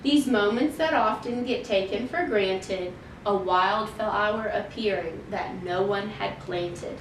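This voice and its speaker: Roomy, slow-paced woman reading a children's story. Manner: measured, deliberate storytelling for young children. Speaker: children's library storytime reader. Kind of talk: expressive picture-book read-aloud in rhyme. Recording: roomy, distant mic, faint noise and rumble.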